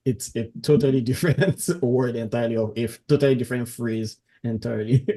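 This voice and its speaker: A man's voice: medium pitch, steady tone, fairly quick, dark tone. A man from Nigeria with a Nigerian accent, chatting casually as a podcast guest and often chuckling as he talks. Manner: chuckles often while talking. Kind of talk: casual conversational interview.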